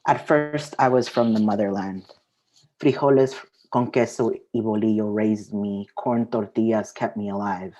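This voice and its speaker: A woman's voice: a bass voice, fairly even intonation, normal speed, dark tone, rough recording. A woman, sharing her poetry at an open mic. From Mexico, raised partly in the US Southwest. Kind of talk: spoken-word poetry reading with casual introduction.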